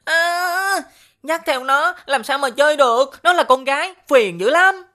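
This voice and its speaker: High-pitched mischievous cartoon boy. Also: animated, measured pace, sharp tone, exaggerated voice. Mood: grumbling. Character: mischievous young cartoon schoolboy, playful and grumbling. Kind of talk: animated series dubbing.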